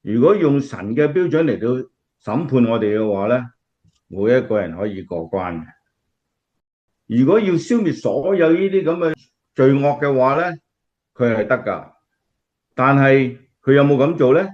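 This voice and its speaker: A man's voice: mid-range voice, expressive, moderate pace, pauses often, natural voice. Man playing an earnest Cantonese preacher, slightly muffled. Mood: earnest preaching. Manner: explanatory, earnest, emphatic. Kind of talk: radio drama acting, sermon-like.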